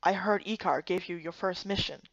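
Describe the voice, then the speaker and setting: A woman's voice: on the low side, normal speed, creaky, no room sound, dull audio, pitched below the performer's own voice. A woman voicing a reserved, blunt man of few words, terse and subdued, for a voiced story game. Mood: flat, unhelpful.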